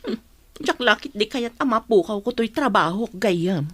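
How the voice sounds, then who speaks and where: A woman's voice: fairly high pitch, expressive, normal speed, fairly bright tone. An actress playing a worried, long-suffering mother in a radio drama, concerned and plain-spoken, with a Filipino accent. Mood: resigned. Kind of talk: Ilocano radio drama acting.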